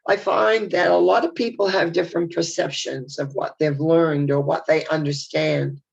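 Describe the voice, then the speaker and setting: A woman's voice: deep voice, steady tone, normal speed, gravelly, slightly rough. An older woman speaking in a chatty, reflective video message, her voice nasal and congested from a head cold. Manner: chatty, warm and reflective, with rambling restarts. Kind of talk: casual spoken video message. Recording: dull lo-fi sound.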